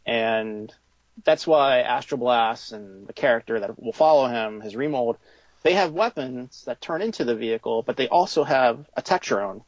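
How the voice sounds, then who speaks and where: A man answering informally as an interviewed toy designer, halting as he thinks aloud, on a slightly lo-fi recording. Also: medium pitch, expressive, no echo.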